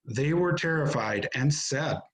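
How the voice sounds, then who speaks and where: A man narrating a scripture reading in a measured, solemn manner. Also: a little high, expressive. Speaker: narrator in a church Passion reading.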